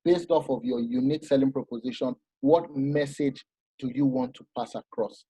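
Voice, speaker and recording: A man giving an informal masterclass talk, animated and explanatory, often restarting his sentences. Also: mid-range voice, moderate pace, slightly rough, dry recording, poor audio. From Nigeria. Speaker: masterclass speaker.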